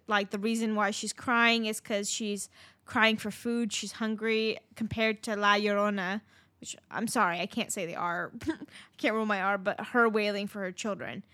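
A woman's voice: on the high side, a bit bright, dry recording. A young woman, co-hosting a podcast and telling a legend in a chatty way with lots of ums. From Southern California. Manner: chatty, many ums, swearing. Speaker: podcast co-host. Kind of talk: casual storytelling chat.

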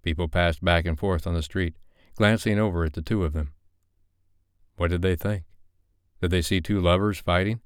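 A man narrating an audiobook in a steady, measured reading style. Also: low-pitched, clean tone, dry recording, studio quality.

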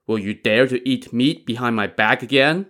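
A man's voice: medium pitch, normal speed, a bit bright, close to an everyday voice. A man playing a sly, teasing magician. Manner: mock-serious, instructing. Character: sly, teasing male magician, calmly in charge.

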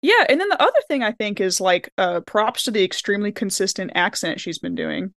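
A woman with a medium-pitched voice chats casually on a panel. Her delivery is animated and informal, and the sound is dry. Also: brisk, gravelly, no room sound. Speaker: podcast panelist. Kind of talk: casual chat.

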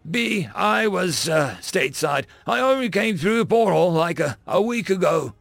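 A man's voice: high-pitched, lively intonation, creaky, a bit bright, dry recording, pitched above the performer's own voice, heavily acted. A man voicing a brash, slangy young replacement Marine who gripes scornfully, in an audiobook story. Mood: incredulous. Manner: slangy, drawn-out griping with bro talk.

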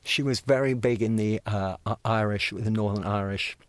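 A man, telling lively anecdotes with frequent stammered restarts. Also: on the low side, moderate pace. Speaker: guest telling stories. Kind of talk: animated anecdote, acting out voices.

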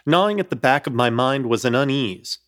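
A man's voice: medium pitch, animated, a little fast, a bit bright, lightly acted. An actor playing an uneasy apartment hunter who narrates his ordeal in florid, wry scripted storytelling for a horror comedy radio play. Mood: tense, unsettled.

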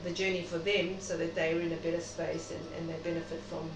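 South African woman, lowish voice, echoey recording. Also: flat delivery, fairly quick. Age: middle-aged. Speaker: interview guest, doctor. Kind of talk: reflective interview conversation. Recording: noisy, echoey room, distant mic.

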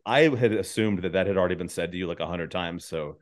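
Low-voiced male podcast host, rambling and goofy. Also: fast talker. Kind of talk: casual, joking conversation.

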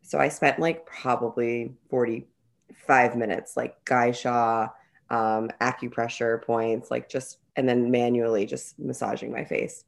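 A woman's voice: extremely low pitch, fairly even intonation, unhurried, vocal fry. A young woman co-hosting a podcast, talking casually and rambling with lots of "like.". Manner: rambling, many "like" fillers, speaks in bursts. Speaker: podcast co-host. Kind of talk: casual chat.

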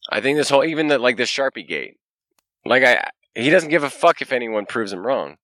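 An American man, a podcast co-host, chatting casually and hesitantly with a lot of swearing, and his voice is going hoarse. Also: medium pitch, lively intonation, fairly quick, a bit bright, no room sound, clean studio sound. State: losing his voice. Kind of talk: casual chat. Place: outdoors by a fire.

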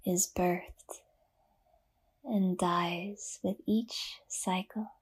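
A woman leading a guided yoga nidra meditation in a soft, breathy half-whisper, gently and with long pauses. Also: lowish voice, steady tone.

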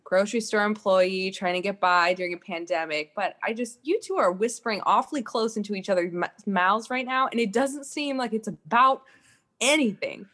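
A woman's voice: medium pitch, normal speed, a bit bright, natural voice. A woman playing an exasperated, nagging wife and mother in an improv comedy sketch. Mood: uneasy protest.

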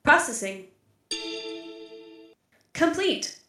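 A woman playing a literal-minded female AI archive computer in an audio drama, speaking in a flat, formal, deliberate way. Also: high-pitched, echoey room, faint music behind, full character voice. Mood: impassive. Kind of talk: scripted audio drama acting.

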